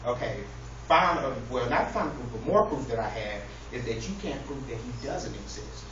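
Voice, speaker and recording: A man's voice: mid-range voice, lively intonation, moderate pace. A man giving an informal, humorous speech to an audience in a mock-earnest, preacher-like way. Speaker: public speaker. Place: echoey indoor room with an audience. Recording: distant, very echoey, noisy, muffled room recording.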